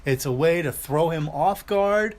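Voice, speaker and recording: A man's voice: on the high side, animated, unhurried. A man hosting a casual talk podcast, upbeat and teasing as he reads announcements and needles his co-host. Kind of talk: casual chat.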